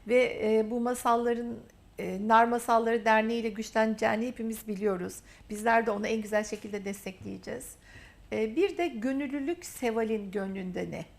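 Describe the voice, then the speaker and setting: A woman's voice: on the high side, fairly quick, vocal fry. A woman hosting a university TV interview, praising her guest in repeated emphatic phrases. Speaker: interview host. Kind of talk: warm, effusive interview talk.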